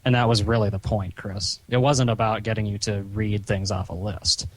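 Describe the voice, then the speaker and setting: A man talking on a recorded phone call in a stern, chiding, halting way, padding his sentences with "you know". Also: on the low side, steady tone. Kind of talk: phone conversation, reproachful lecturing.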